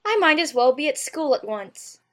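A woman's voice: high voice, sing-song, moderate pace, fairly bright tone. A woman playing a polite, puzzled young girl with a proper, earnest delivery in an audiobook. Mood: put upon. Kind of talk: full-cast audiobook character acting.

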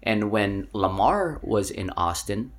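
A man co-hosting a casual podcast chat, telling a story with lively bursts of laughter. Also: fairly low pitch, slow pace. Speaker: podcast co-host.

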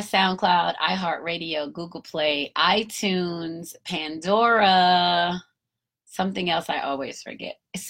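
Low-voiced woman in her fifties hosting a podcast. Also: fairly bright tone. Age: 50s. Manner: candid, motivational, casual cursing. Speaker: podcast host. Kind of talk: reflective solo podcast talk.

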